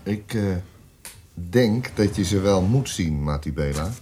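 A man acting in a radio drama as a cold, methodical police interrogator with a measured, official way of talking. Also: low voice, lively intonation, noisy background. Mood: cold, pressing. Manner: measured, insistent, official questioning. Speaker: actor.

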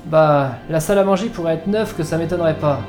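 A man's voice: on the high side, fast talker, close to an everyday voice. A man acting as a cautious spaceship investigator in a French science-fiction audio drama. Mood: focused, puzzled. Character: cautious male spaceship investigator, afraid of robots. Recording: echoey reverberant room, music behind. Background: music.

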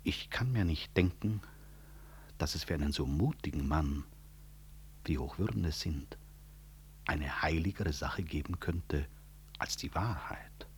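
A proud professor of medicine in a 1960s radio drama, in a very deep bass voice over faint recording noise. He speaks with formal courtesy and a dry, quietly principled irony. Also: measured pace, pauses often. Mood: reserved, probing.

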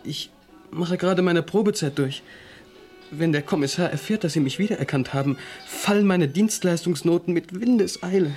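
An actor playing a nervous, timid trainee inspector who hesitates and stumbles anxiously in a radio crime drama. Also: male voice, on the high side, normal speed, a little mellow, faint music behind, close to an everyday voice. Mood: flustered, worried. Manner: hesitant, anxious, stammering restarts.

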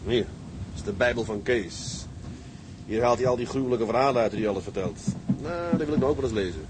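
A man's voice: mid-range voice, fairly quick, natural voice. An actor playing a hearty, grumbling seaman who talks to himself in a radio play. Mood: hearty, relaxed. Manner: talks to himself, muttering and exclaiming. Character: hearty, grumbling seaman alone aboard at night. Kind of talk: Dutch radio play acting with monologue. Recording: noisy, with boat and water sound effects.

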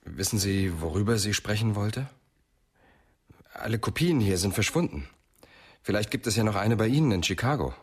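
An actor playing a serious, probing police inspector in a radio crime drama, speaking in terse questions and remarks. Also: male voice, fairly low pitch, animated, normal speed, long pauses, slightly rough.

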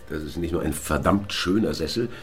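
An actor playing a reflective, erudite police inspector with a pedantic manner in a radio crime drama. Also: male voice, a bass voice.

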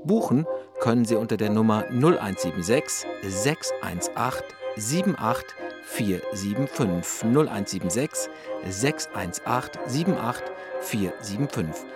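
A man's voice: fairly low pitch, animated, unhurried. A man making a scripted announcement as a radio presenter. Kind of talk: broadcast announcement. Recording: loud music under the voice.

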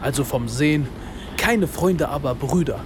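A man's voice: fairly high pitch, normal speed, background noise, lightly acted. A man playing a street-smart narrator in a radio drama, telling a gritty story in rhythmic, staccato phrases. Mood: gritty, cool. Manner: rhythmic, staccato spoken-word delivery in short phrases. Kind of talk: scripted radio drama narration in second person. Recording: loud music bed, urban street sounds.

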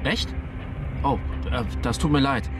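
An actor playing a cocky yet anxious young racing driver in a naturalistic radio drama, talking in casual slang. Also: male voice, medium pitch, a little slow, close to an everyday voice. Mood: apologetic, evasive. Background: music, car and traffic sounds.

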